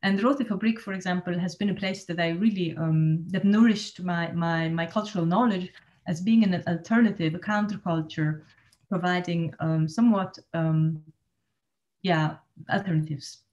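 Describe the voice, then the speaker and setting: A woman's voice: on the low side, moderate pace, a little mellow, lo-fi sound. A woman in her forties speaks into a laptop webcam from a small room at home. She talks in a thoughtful, searching way, with frequent ums and restarted phrases, like someone presenting to colleagues online. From Switzerland. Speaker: art space director. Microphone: laptop webcam on video call.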